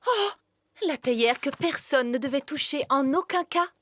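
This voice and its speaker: A woman's voice: high voice, lively intonation, normal speed, fairly bright tone, no room sound, studio quality. A woman voicing an imperious, dramatic fairy queen and mother in a cartoon dub, grand and theatrical in manner. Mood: indignant.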